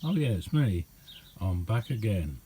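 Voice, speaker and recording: A middle-aged man with a lowish, very mellow voice talks casually through a hobby demonstration. His delivery is unhurried and halting, with colloquial phrasing. He is recorded indoors with some faint noise behind him. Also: sing-song. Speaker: radio hobbyist showing a homemade receiver. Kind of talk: casual hands-on demonstration vlog. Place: indoor hobby radio room.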